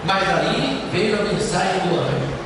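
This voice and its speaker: A man from preaching a sermon as pastor, impassioned and swinging up high to sing out his phrases. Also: animated, unhurried, vocal fry. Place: large church hall. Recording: echoey hall from far off, background noise.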